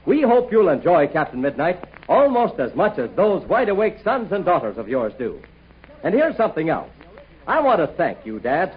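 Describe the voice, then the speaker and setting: A man announcing and narrating a 1940s radio show in a hearty, folksy, persuasive style, with a husky voice. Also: high voice. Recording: muffled 1940 radio broadcast.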